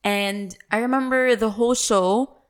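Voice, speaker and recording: A woman's voice: on the high side, fairly even intonation, unhurried, clean tone. A woman telling stories in a casual, candid and playful way, acting out bits of quoted dialogue. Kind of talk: casual conversational storytelling.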